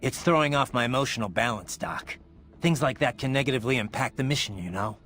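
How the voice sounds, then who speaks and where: An actor playing a grim, brooding male cyborg mercenary in a video game, with a gravelly voice and a terse, dry, sardonic way of talking. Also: medium pitch, animated, fast, a bit bright, close to an everyday voice. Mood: irritated.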